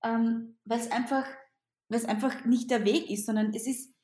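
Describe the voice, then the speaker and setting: Austrian-accented female teacher, non-native, higher voice, roomy echo, lively interview answers. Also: a little slow. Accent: Austrian German. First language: not German. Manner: animated, repeats words and restarts.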